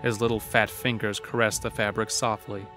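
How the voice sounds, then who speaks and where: A man's voice: on the low side, flat delivery, normal speed, bright, forceful tone, faint music behind. A man narrating a scripted horror story as the narrator of an audio drama.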